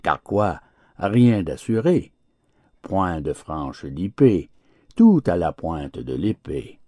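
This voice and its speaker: A man's voice: deep voice, very animated, takes it slowly, mellow, no room sound, clean studio sound, heavily acted. A man voicing a big, well-fed storybook mastiff, complacent and persuasive, servile to his masters. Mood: smug, coaxing.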